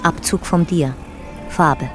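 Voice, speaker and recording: An actress as a detached documentary narrator in a radio drama, giving clipped, factual narration. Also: female voice, low voice, slow pace, music in the background.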